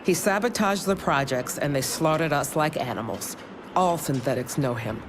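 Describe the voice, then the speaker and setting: A woman's voice: deep voice, lively intonation, normal speed, background noise. A woman playing a cool, hard, sardonic synthetic soldier in a video game, with a dry delivery. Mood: cold, bitter. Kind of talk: video game cutscene acting.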